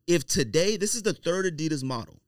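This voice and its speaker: An American man debates casually on a podcast in a slightly high, very animated voice. He is emphatic and argumentative, quick to cut in. The sound is dry. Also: no room sound. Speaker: podcast host.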